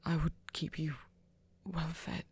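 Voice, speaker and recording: A woman playing a flirty, tender vampire in an intimate ASMR romance, speaking gently and haltingly in a breathy half-whisper. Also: deep voice, little pitch movement, exaggerated voice. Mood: tender, hesitant. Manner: halting, gentle, careful phrasing. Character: flirty, tender vampire shyly confessing love to a hunter.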